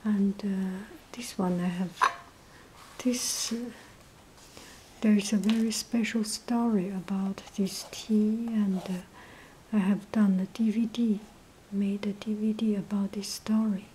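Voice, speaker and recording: Woman vlogger, breathy half-whisper, low energy, slow, flat delivery. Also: a little hiss or hum, poor audio. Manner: hesitant, many pauses and fillers. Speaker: tea vlogger. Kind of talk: casual show-and-tell narration. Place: indoors at a table, off camera.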